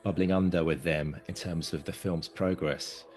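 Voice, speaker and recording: A man co-hosting a casual podcast over a video call, easygoing and quick to laugh. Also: low-pitched, fairly even intonation, darkish tone. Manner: easygoing, friendly, laughs often. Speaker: podcast co-host.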